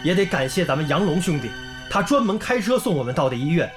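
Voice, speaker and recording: An actor playing a devoted young village schoolteacher in a radio drama, earnest, caring and expressive. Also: male voice, fairly high pitch, fast. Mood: reassuring. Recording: music under the voice.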